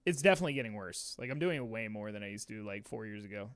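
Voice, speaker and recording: A man's voice: on the low side, animated, rapid-fire, clean tone, dry recording, clean studio sound. A man in his twenties hosting a livestream watch party, chatting casually in slang and laughing often, with a sniffly voice. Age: 20s.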